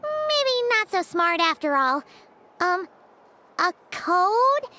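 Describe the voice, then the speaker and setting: Squeaky, childlike fairy companion. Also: female voice, big pitch swings, extremely slow, pushed and bright, a little hiss or hum, exaggerated voice. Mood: blunt, coaxing. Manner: childlike, exclamatory. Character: tiny flying fairy companion, childlike, excitable and blunt. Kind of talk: video game voice acting.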